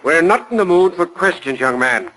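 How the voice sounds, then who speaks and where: A man's voice: on the high side, expressive, normal speed, bright, forceful tone, rough recording. An actor playing a stern, imperious older father, haughty and commanding, in 1940s radio style. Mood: indignant, commanding. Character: stern, imperious wealthy father, cold and sharp-tongued. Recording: 1940s radio broadcast recording.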